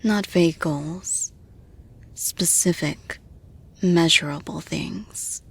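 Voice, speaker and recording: A woman playing a composed, supportive female boss in an intimate roleplay audio, speaking to the listener in a measured, reassuring, soft manner. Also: low-pitched, creaky, breathy edge, exaggerated voice. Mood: calm, reassuring.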